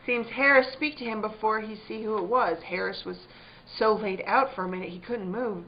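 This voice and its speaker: A woman's voice: mid-range voice, normal speed, natural voice. Woman playing a careworn, anxious country wife. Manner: plain country dialect, fretful and earnest. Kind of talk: theatrical radio-play acting. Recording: dull, noisy lo-fi recording.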